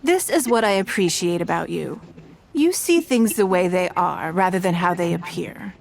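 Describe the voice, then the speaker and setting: An actress playing a calm, self-assured, wealthy businesswoman in a video game, speaking in a measured, polished and articulate way. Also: on the low side, gravelly. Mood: appreciative. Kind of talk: scripted video game voice acting. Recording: game dialogue over background music.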